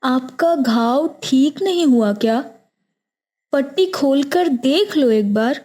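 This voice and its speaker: A woman's voice: high-pitched, expressive, slow pace, warm tone. A woman voicing a wrestler's caring, anxious wife, exclaiming with concern in a cartoon moral story. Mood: worried.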